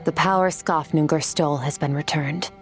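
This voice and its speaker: A woman voicing a solemn, aloof, centuries-old female warrior in a video game, with measured, formal, philosophical delivery. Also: sits low, fairly even intonation, over music, natural voice. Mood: solemn, reflective. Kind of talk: video game character voice acting.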